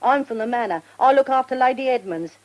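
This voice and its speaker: An actress playing a talkative, inquisitive servant from the manor in a radio drama, with a working-class southern English accent. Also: female voice, fairly high pitch, lively intonation, quick pace, dull audio, natural voice. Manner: inquisitive, gossipy, rambling.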